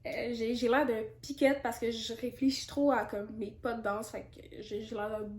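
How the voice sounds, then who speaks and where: A woman's voice: a little high, little pitch movement, normal speed, a little mellow, echoey room. A woman in her twenties from Canada with a Quebec French accent, chatting candidly through a casual Q&A vlog with lots of fillers and asides. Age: 20s. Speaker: YouTuber.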